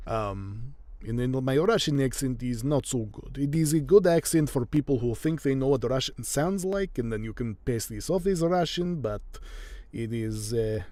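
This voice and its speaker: A young man talking casually while he edits an audiobook, relaxed and chatty as he explains each step. The sound is dry and close, with no room echo. His pitch sits in an ordinary middle range. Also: moderate pace. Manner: relaxed, chatty, laughs often, explains as he goes. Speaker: audiobook narrator and editor. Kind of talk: casual chat while editing.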